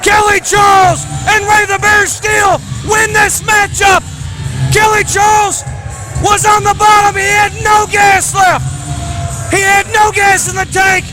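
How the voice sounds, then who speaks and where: A man calling indie wrestling matches with shouted, hyped play-by-play while losing his voice. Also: very high voice, little pitch movement, measured pace, low-quality recording. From Kentucky. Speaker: wrestling commentator. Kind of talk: excited play-by-play commentary. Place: indie wrestling event venue. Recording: loud crowd and arena music behind.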